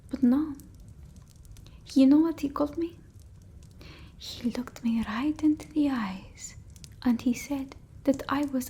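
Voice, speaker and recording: A woman playing a petulant female vampire in a whispered ASMR roleplay, with a theatrical, breathy delivery. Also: high-pitched, mellow. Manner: theatrical, slow, aggrieved. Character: petulant, melodramatic female vampire from an old village.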